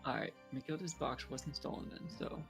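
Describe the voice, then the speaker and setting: A creaky, gravelly voice at middle pitch reads out courtroom dialogue in a group game playthrough. Game music plays clearly behind the speech. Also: brisk. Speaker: player voicing a defense lawyer in a fan dub.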